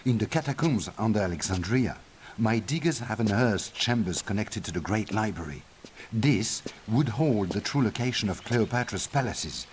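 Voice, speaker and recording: A man's voice: on the low side, animated, moderate pace, slight background noise, lightly acted. A man playing an earnest, courteous French archaeologist in a video game, speaking formally with a French accent. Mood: urgent, explaining. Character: earnest older male French archaeologist ally, anxious and courteous. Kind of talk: video game cutscene dialogue. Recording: prominent game music behind.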